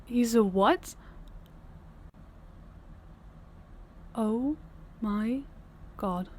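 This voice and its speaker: A young woman voicing a tired, tender working girlfriend in an audio roleplay, speaking softly and intimately, often close to a whisper. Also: fairly high pitch, extremely slow. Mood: shocked, anxious. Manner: soft-spoken, intimate, often near a whisper. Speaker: voice actor.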